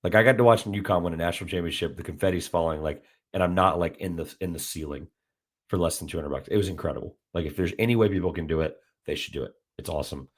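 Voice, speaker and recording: A man hosting a casual sports podcast, his voice a little raspy from days of talking, rambling with many fillers and restarts. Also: sits low, quick pace. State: slightly raspy from heavy talking. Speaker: podcast host.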